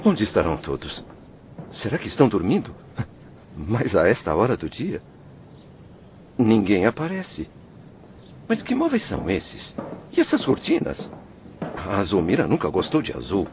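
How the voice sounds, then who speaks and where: A man's voice: fairly low pitch, huge pitch swings, darkish tone, a little hiss or hum, exaggerated voice. Distraught proud father, wildly swinging voice. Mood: bewildered, anxious. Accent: Brazilian. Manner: theatrical, anguished, halting between whispers and outcries. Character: distraught proud wine-merchant father, spirit returned home. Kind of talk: radio drama acting. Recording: muffled radio drama, music underneath.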